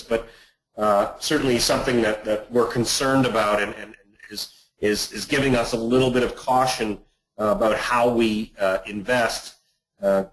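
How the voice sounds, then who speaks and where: A man presenting on an investment webinar, speaking haltingly and often repeating words. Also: mid-range voice, rough recording. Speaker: investment manager hosting a client webinar. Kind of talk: presentation on a conference call.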